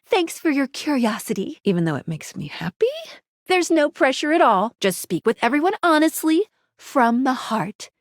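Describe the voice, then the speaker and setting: A woman's voice: high-pitched, sing-song, moderate pace, dry recording, clean studio sound. A woman voicing a chatty, quirky, upbeat game guide, a talking object who explains things in a playful, nerdy way. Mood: friendly, reassuring. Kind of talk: scripted video game character dialogue.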